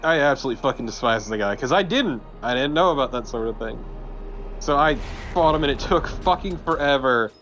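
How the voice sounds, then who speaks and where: A man's voice: medium pitch, lively intonation, fairly quick, fairly bright tone, muffled recording, faint music behind. A man giving casual video game commentary in a blunt, sweary, conversational way. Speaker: let's play co-commentator and gamer.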